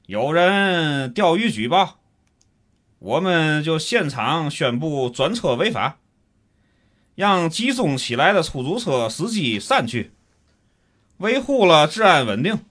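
A man's voice: fairly high pitch, sing-song, slow pace, a bit bright, heavily acted. A man with a Tianjin accent acting a grumbling, pompous city schemer in a comic audio drama, theatrical and colloquial. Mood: pompous, scheming.

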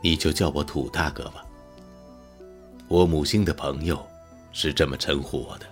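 A man acts out an ancient wandering star god with an extremely low voice. He is wistful and unhurried, speaking in radio-drama style over music. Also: steady tone. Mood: calm, reminiscing. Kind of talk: Mandarin audio drama acting.

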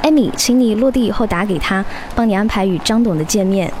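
A woman's voice: mid-range voice, quick pace, natural voice. A woman playing an efficient, businesslike celebrity assistant in a radio drama. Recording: clear vehicle noise behind.

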